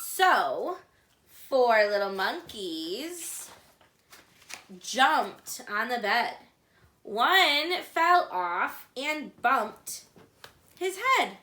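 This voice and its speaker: A woman with a high, bright voice hosts a children's storytime in an echoey room. She reads a picture book aloud with deliberate, singsong phrasing aimed at little listeners. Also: big pitch swings.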